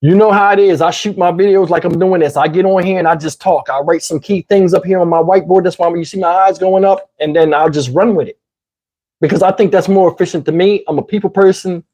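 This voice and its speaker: A man's voice: high-pitched, fairly even intonation, fast, darkish tone, rough recording. A man with a Southern US accent hosting a casual livestream, rambling conversationally, his voice tiring and his throat parched. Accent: Southern US English. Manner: rambling, conversational, asks "you know what I'm saying". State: voice getting tired, throat parched. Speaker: YouTube commentary host. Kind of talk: casual livestream talk to viewers.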